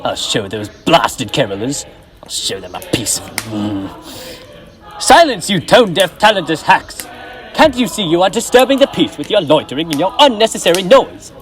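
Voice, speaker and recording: A young man playing a grumpy, miserly old man on a school stage, scolding in sharp outbursts. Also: on the high side, big pitch swings, normal speed, faint music behind. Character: miserly, grumpy old man who later turns fearful. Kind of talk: school stage play acting.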